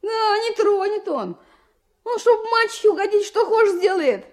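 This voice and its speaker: A performer playing a guarded, defiant runaway village boy with rough speech in a radio play. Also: very high-pitched, expressive, moderate pace. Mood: bitter, defiant.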